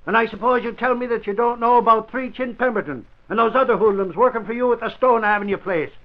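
An actor playing a blustering, indignant, pushy newspaper reporter in an old radio drama. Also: male voice, extremely high pitch, fast talker. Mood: accusing, sarcastic. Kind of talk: 1940s radio drama acting. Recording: muffled old radio recording.

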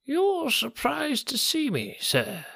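A croaking, gravelly old bookseller from a storybook. He is fussy and humbly wheedling, with a pitch that swoops about. The sound is close and dry. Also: huge pitch swings, slow pace, fairly bright tone, no echo, exaggerated voice. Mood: apologetic, humble. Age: older. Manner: deferential, wheedling salesman talk. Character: strange old book collector, wizened, fussy, obsequious.

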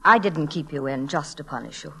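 A woman's voice: low-pitched, expressive, fairly quick, gravelly, a bit bright, breathy edge, heavily acted. A woman playing a strict but caring schoolteacher in a 1940s radio drama, speaking earnestly with formal emphasis. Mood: earnest, firm. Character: stern but caring, principled female schoolteacher.

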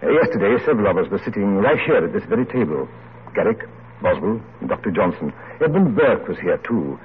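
A man's voice: lowish voice, sing-song, fairly quick. An actor playing a genial, reflective older English man of letters, courtly and oratorical, in an old radio play. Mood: grave, reflective. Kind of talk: 1940s radio drama acting. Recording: muffled, noisy vintage radio recording.

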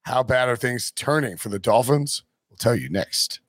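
A male sports podcast host talks with a raspy, hoarse voice. He steers the conversation and moves the show along. His mid-pitched voice is close and dry. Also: expressive, normal speed, no room sound. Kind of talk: casual sports chat, hosting.

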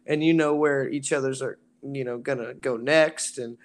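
A raspy-voiced man from a band talks loosely about his music. He rambles, circling back with fillers, in an easygoing interview tone. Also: mid-range voice, moderate pace. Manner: relaxed, rambling with many fillers. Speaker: band singer. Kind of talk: casual interview chat.

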